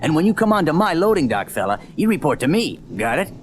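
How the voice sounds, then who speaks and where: Man as gruff, suspicious dock supervisor. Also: fairly high pitch, very animated, fast, faint music behind. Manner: blunt, bossy, hesitating with suspicion. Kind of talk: audio drama acting.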